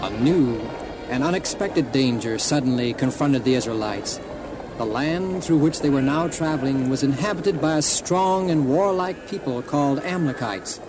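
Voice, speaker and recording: A man's voice: mid-range voice, lively intonation, normal speed. Solemn male story narrator over music. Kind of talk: scripted dramatic Bible story narration. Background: music under the voice.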